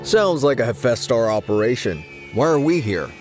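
Man acting a dutiful captain, very animated pitch. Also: moderate pace, over music, lightly acted. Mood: wary. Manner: clipped, military. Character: earnest, dutiful male military captain. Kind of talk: video game voice acting.

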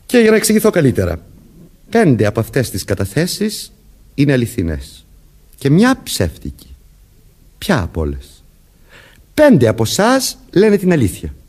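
An actor playing an authoritative police sergeant in a Greek radio play, speaking formally and deliberately while questioning suspects. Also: male voice, medium pitch, a little mellow. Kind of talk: Greek radio theatre acting.

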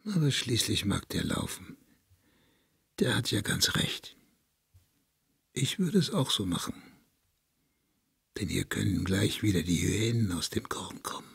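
A man voicing a deranged, childlike and dreamy madman in an audio drama, talking to himself in a raspy voice. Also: fairly low pitch, lively intonation, a bit bright, dry recording, studio quality, exaggerated voice. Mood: muttering. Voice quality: raspy, husky, creaky. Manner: slow, rambling talk to himself. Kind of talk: German audio drama of a literary story.

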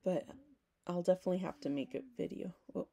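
A young woman talking casually to her camera at home, hoarse, rambling with lots of "like" and self-corrections. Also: on the low side, steady tone, fast, mellow. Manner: rambling, self-interrupting, frequent "like". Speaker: vlogger. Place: small home room, indoors.

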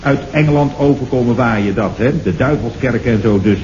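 An actor playing a glib, unflappable subordinate in a radio comedy, hesitating and then explaining in wordy, pretentious phrases. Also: male voice, mid-range voice, brisk, a little mellow, close to an everyday voice. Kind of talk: 1970s Dutch radio comedy acting. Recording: muffled old radio recording, faint noise.

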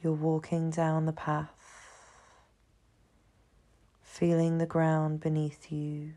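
Slow, low-voiced woman guiding meditation. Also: completely flat delivery. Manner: soothing, hushed, unhurried with long pauses. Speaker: meditation guide. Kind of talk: guided meditation, slow scripted narration.

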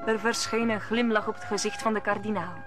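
A woman's voice: medium pitch, fairly even intonation, a little fast, music in the background. A woman narrating a radio play in a scripted storybook style.

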